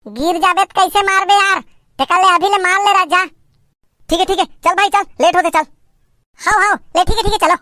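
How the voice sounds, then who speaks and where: An excitable village man from a comedy cartoon, voiced by a man, squeaks in an extremely high, pitched-up voice. The tone is bright and sharp, with a Chhattisgarh accent. He exclaims, teases and grumbles, and the sound is very dry. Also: normal speed, no echo. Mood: bossy, teasing. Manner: exclamatory, teasing, complaining. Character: excitable, grumbling male villager on a picnic outing.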